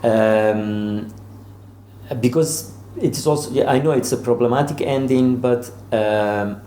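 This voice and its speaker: A man's voice: mid-range voice, takes it slowly, dark tone. A man speaking as an interviewed novelist in an informal discussion, searching for words and often repeating them. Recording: roomy echo with faint background noise.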